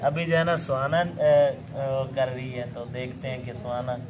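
A young man from Pakistan talks casually to his viewers in an open village courtyard. He is chatty, laughs easily and keeps checking in with "okay". His voice sits at an ordinary middle pitch, and the camera is set a few meters away. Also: level tone, measured pace, crowd noise. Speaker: vlog host. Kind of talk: casual vlog narration to viewers.

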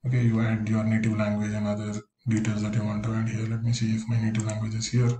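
A man talks through a screen-recorded tutorial, explaining each step plainly. His voice is mellow and fairly monotone. The room is echoey, with a faint hiss behind him. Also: lowish voice, fast. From India. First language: Konkani. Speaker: career coach.

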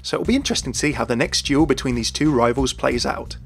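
A man's voice: mid-range voice, fast talker, vocal fry, soft background music. A man hosting a video, reading scripted commentary on a video game. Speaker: video host.

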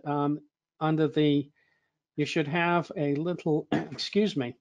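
A man moderating an online training webinar, slightly hoarse, talking in a relaxed, conversational way with fillers and restarts. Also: on the high side, slow pace, no echo, dull audio.

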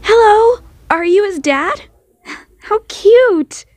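A woman's voice: extremely high pitch, animated, slow pace, darkish tone, dry recording, pitched above the performer's own voice, heavily acted. A woman voicing a friendly female passer-by with a polite, gushing manner, in a comic dub. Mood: admiring.

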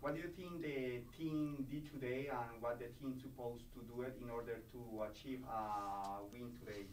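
Halting male reporter, roomy and off mic. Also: mid-range voice, steady tone, distant mic, very noisy. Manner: halting, wordy questions in non-native phrasing. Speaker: radio reporter. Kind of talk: press conference questions.

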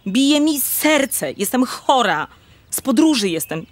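An actress playing an agitated, lovesick countess in a radio drama, with a melodramatic manner. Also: female voice, sits high, sing-song, takes it slowly, no echo, heavily acted. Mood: agitated, breathless.

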